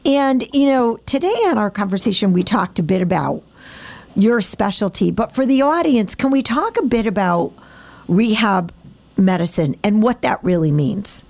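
A woman hosting a hospital talk show, hoarse and raspy from losing her voice, chatty and upbeat. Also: medium pitch, animated, moderate pace, a little mellow. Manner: chatty, upbeat, laughs often.

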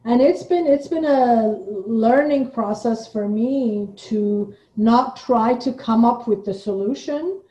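A woman's voice: a little high, slow pace, hardly any pauses, warm tone. A woman from Iran chatting reflectively as an interview guest, recorded with a dull, roomy sound. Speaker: guest, playwright and artistic director. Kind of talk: casual reflective chat.

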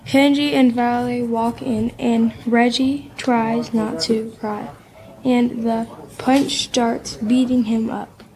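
A woman's voice: on the high side, little pitch movement, takes it slowly, a crowd in the background, rough recording. A young woman reading scripted narration for an amateur radio play.

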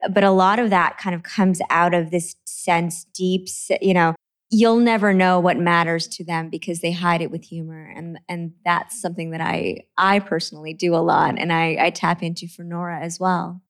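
A woman from Trinidad, an author talking about her books in a relaxed interview, earnest and quick to laugh. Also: lowish voice. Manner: earnest, laughs easily, repeats words when starting. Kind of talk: conversational interview.

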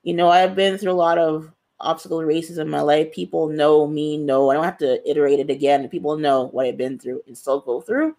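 A woman's voice: deep voice, fairly quick, hardly any pauses, warm tone. A woman author answering interview questions in an earnest, emphatic way, repeating phrases for stress. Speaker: author, guest.

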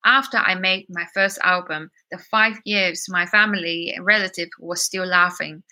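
A woman's voice: fairly low pitch, fairly even intonation, normal speed, pushed and bright. A woman from China with a Chinese and partly British accent, telling her personal story earnestly and expressively as an interview guest. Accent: Chinese with some British. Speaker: singer-songwriter, interview guest.

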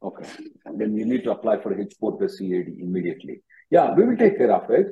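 A man's voice: lowish voice, sing-song, fast, phone-quality sound. A man hosting an advisory call-in as an attorney, directive and given to repeating himself. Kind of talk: advisory call-in question and answer.

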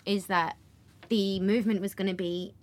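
A woman's voice: mid-range voice, steady tone, normal speed. A woman in her twenties with a West Belfast accent, an actor talking earnestly about her training in an interview. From Northern Ireland. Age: 20s. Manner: earnest, lots of fillers like "kinda". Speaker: stage actor. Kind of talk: casual interview chat.

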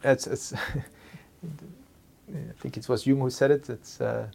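A middle-aged man, a Dutch speaker with a Flemish accent, talking as a company executive about his leadership, pausing often to search for words and tagging points with "huh?". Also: medium pitch, fairly even intonation, creaky, darkish tone.

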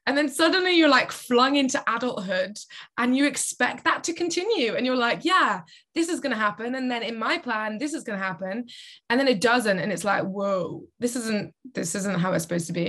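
Croaky young woman chatting. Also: on the high side, lively intonation, moderate pace, a bit bright. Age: 20s. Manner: chatty, reflective, quotes her own inner thoughts. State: slightly croaky, tired voice. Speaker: podcast co-host. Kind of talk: casual chat.

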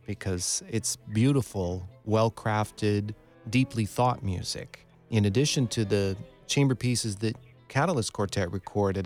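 A man conducting a conversational radio interview as host, phrasing things in a measured, courteous way. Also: lowish voice, a little mellow. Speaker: radio program director and interviewer.

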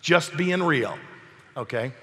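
A man preaching an emphatic sermon while hoarse from a cold, asking his congregation questions. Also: a little high, expressive, slow, bright, forceful tone, long reverb tail. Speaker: pastor. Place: church hall.

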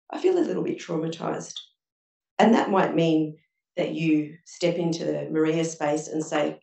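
Low gravelly woman advising a panel audience. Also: a little mellow. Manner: earnest, advisory, speaks in examples. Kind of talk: panel discussion answer. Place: event room with audience. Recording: echoey room from a distance.

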